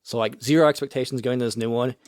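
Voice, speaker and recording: A man's voice: mid-range voice, steady tone, fast, darkish tone, dry recording. A young man in his twenties co-hosting a podcast, talking in casual, slangy, enthusiastic chat. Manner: enthusiastic, slangy, heavy use of "like". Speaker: podcast co-host.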